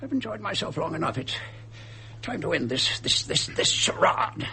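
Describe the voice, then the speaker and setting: An actor playing an irritable, imperious lord in a radio drama, brusque and haughty, with a British accent. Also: male voice, fairly high pitch, big pitch swings, normal speed, gravelly, pushed and bright, a little hiss or hum, full character voice. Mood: impatient, haughty. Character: irritable, imperious male passenger, a posh impostor lord.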